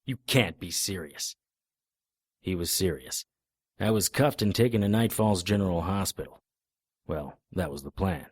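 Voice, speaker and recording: A man's voice: deep voice, lively intonation, normal speed, long pauses, breathy edge, no room sound. A man playing a tough, sardonic private investigator in a noir radio drama, both narrating and speaking in scenes. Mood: angry, sarcastic. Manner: hard-boiled, sardonic. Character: tough, defiant, wry male private investigator. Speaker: lead actor and narrator.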